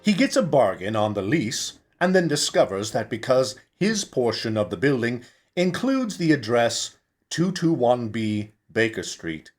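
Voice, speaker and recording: Male audiobook narrator, medium pitch, very animated intonation, measured dramatic phrasing. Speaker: actor reading an audiobook. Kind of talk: scripted story narration.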